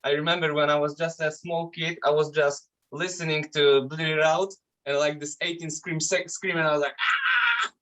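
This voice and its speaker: A man's voice: on the high side, very animated, normal speed, pushed and bright. A man with a Polish accent talks casually as a guest about his band, in a voice that sits a little above the usual male range. He restarts often and swears easily, with a loose, unpolished way of speaking. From Poland. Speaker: metal band vocalist, guest. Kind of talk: casual interview chat.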